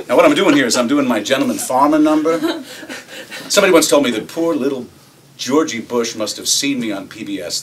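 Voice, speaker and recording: A man acting as swaggering executive, sardonic storyteller, forceful bright voice, theatrical pauses, recorded from a distance with faint noise. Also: mid-range voice, close to an everyday voice. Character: cynical, swaggering American corporate executive telling a story. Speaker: actor performing a monologue. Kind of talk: theatrical stage monologue, conversational storytelling. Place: acting workshop room.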